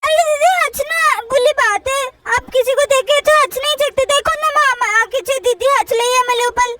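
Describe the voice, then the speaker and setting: A woman's voice: very high-pitched, moderate pace, pushed and bright, a little hiss or hum. A woman voicing an eager, whiny little girl, the younger daughter in a play-acted family story, with a childish, insistent way of pleading.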